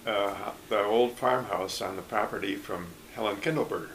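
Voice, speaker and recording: An older man from Michigan recalling his past in a relaxed interview, speaking haltingly with frequent restarts and small chuckles. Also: fairly low pitch, slight background noise, low-quality recording. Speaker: oral history interviewee. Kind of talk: casual recollection.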